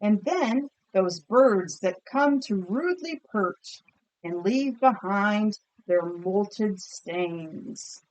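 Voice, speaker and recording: A woman teacher reading a picture book aloud expressively and pausing to ask listeners questions. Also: mid-range voice, lively intonation, darkish tone, poor audio. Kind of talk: storybook read-aloud with comprehension questions.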